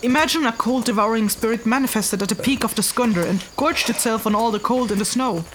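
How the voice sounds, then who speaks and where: A woman's voice: a little high, a little fast, background noise, lightly acted. A woman playing a knowledgeable, slightly evasive traveler in a fantasy audio drama, explaining things patiently and a little hesitantly.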